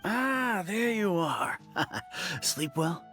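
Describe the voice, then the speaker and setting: A man's voice: high-pitched, sing-song, very slow, pushed and bright, exaggerated voice. A man playing an easygoing, kindly villager in a video game, talking in a casual, musing way. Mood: friendly, casual. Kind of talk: video game character acting. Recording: background music over clean game dialogue.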